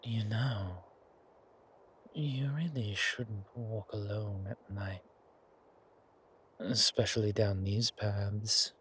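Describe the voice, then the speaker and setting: A man playing a suave, centuries-old vampire in a horror ASMR roleplay, languid and genteel with quiet menace and a husky, breathy voice. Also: lowish voice, steady tone, extremely slow, long pauses, slight background noise. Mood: quietly menacing.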